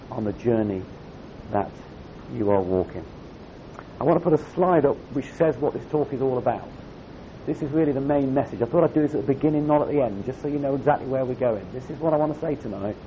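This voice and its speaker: Croaky man preaching to young people. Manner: conversational, emphatic repetition. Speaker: camp speaker. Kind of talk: youth sermon. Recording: dull lo-fi recording with faint rumble.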